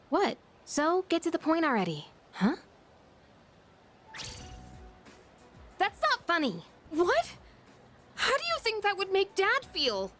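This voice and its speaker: A woman's voice: high voice, a bit bright, heavily acted. A woman playing a proud, guarded, independent teenage girl in an anime-style video game, speaking in a frank, firm way. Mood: annoyed. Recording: music behind the voice.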